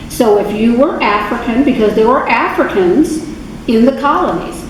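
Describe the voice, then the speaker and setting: A woman giving an informal history talk to an adult church class, unhurried, with many fillers and self-corrections. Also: a little high, a little mellow. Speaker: guest speaker at adult faith class. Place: church meeting room. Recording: very echoey, distant, noisy room recording.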